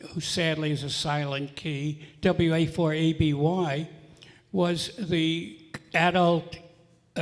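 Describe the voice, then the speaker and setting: An older man speaking deliberately and informally to a club meeting, explaining things and telling anecdotes. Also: on the high side. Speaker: club member addressing a radio club meeting. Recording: echoing hall with long reverb.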